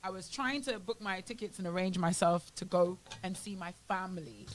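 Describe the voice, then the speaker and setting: A young British woman co-hosts a morning radio show from the studio. She chats casually and tells stories in an animated way, acting out the quotes. Her accent sometimes drifts toward Bahamian. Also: lowish voice, normal speed. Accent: British, at times Bahamian. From Britain. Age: young adult. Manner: chatty, animated storyteller, acts out quotes.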